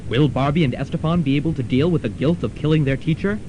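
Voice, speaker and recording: A young man narrating a comedy radio serial in a dramatic, scripted announcer style. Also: fast. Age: young adult. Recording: rumbling, noisy college radio tape.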